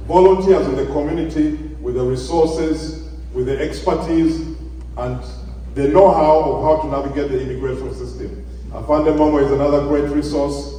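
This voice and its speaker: Man host introducing a team, mellow voice, distant mic in a big echoey hall. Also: mid-range voice, slight background noise. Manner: plain, measured announcing with fillers. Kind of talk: speech to a gathering.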